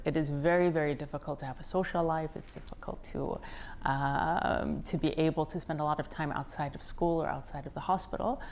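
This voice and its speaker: An American woman in her forties, a physician and company founder, answering interview questions in a measured, thoughtful way with frequent ums. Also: deep voice, darkish tone. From United States.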